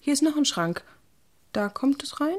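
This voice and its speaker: A woman's voice: high voice, lively intonation, normal speed. An actress playing a proud, independent young woman in a radio drama, matter-of-fact and earnest. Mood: defiant. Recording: clear music behind.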